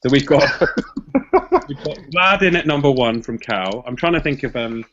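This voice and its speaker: A man's voice: mid-range voice, moderate pace, a bit bright, muffled recording. A man hosting a casual podcast chat, laughing often and hedging his points. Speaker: podcast host. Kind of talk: casual chat about boxing rankings.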